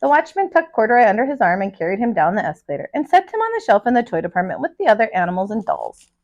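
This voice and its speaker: Animated woman reading a children's story, highish voice. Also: fast, dull audio. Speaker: teacher and storyteller. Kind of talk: expressive read-aloud of a children's picture book.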